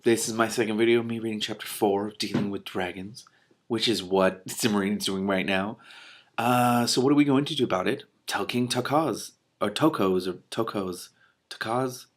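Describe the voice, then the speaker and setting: A man hosting an expressive book read-aloud, breaking off for animated, incredulous, casual reactions. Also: on the low side, moderate pace. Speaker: host reading a book aloud with commentary.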